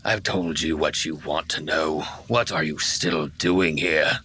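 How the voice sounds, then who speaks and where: An enormous old dragon speaks in an extremely low, gravelly voice with a forceful edge. He is proud, grumpy and weary, dismissing intruders with menace in a fantasy game, over a noisy recording. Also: a little slow, exaggerated voice. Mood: grudging.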